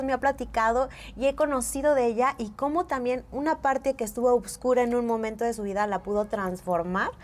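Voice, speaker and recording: A woman with a Mexican accent hosting a podcast, friendly and enthusiastic, fond of diminutives. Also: a little high. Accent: Mexican Spanish. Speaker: podcast host. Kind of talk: casual podcast interview.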